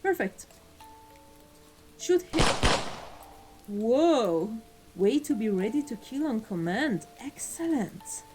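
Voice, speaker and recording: A woman playing an amused, ruthless vampire mafia boss in a scripted ASMR roleplay, speaking in a deliberate, theatrical, condescending way. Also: a little high, very animated. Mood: pleased, commanding. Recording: voice over background music.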